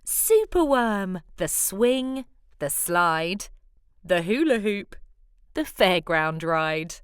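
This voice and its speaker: A woman reading a children's picture book aloud as a storyteller, animated, with deliberate phrasing. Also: medium pitch, clear, resonant tone, no room sound, studio quality.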